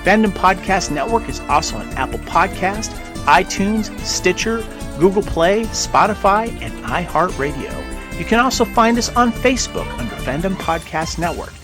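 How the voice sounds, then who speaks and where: A man's voice: high-pitched, very animated, moderate pace. A man reading a scripted network promo in an upbeat announcer manner. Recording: music bed under the voice.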